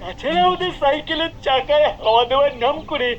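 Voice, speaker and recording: An actor's high-pitched sneaky cartoon shopkeeper. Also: quick pace, muffled recording, close to an everyday voice. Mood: sly. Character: sneaky, flustered adult man, small-time crooked shopkeeper. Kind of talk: cartoon voice acting.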